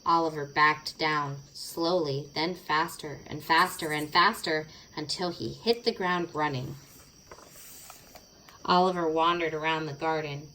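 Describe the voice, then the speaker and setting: A woman's voice: sits low, fairly even intonation, unhurried, sharp tone, slight background noise, rough recording. A woman narrating a children's storybook expressively, stretching words for dramatic effect. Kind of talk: expressive children's read-aloud.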